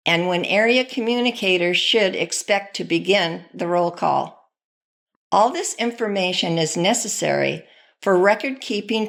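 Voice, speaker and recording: A woman's voice: mid-range voice, creaky, a bit bright. A woman delivering scripted instructional narration as a training presenter.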